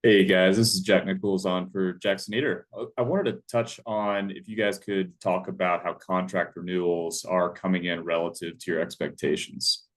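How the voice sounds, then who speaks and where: A man's voice: deep voice, steady tone, normal speed, few pauses. A man working as a financial analyst, asking an informal question on an earnings call.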